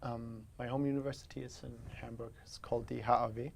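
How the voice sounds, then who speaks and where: A young man from Germany, making relaxed, dry remarks as a student guest. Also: medium pitch, quick pace, creaky, a little hiss or hum. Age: young adult. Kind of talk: casual interview answers.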